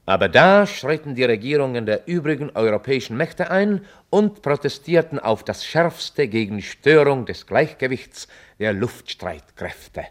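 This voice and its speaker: An actor playing a sober chronicler, narrating a radio play in a formal, deliberate, deadpan way. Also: male voice, medium pitch, lightly acted. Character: sober male chronicler of an absurd future war.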